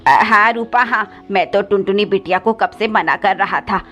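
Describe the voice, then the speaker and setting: A woman's voice: medium pitch, normal speed, a bit bright, natural voice. Woman as a sly, cruel crow stepfather. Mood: falsely sweet. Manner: scolding, sly. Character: cruel, scheming, wheedling male crow stepfather. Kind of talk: cartoon moral story voice acting. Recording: slightly dull audio with background music.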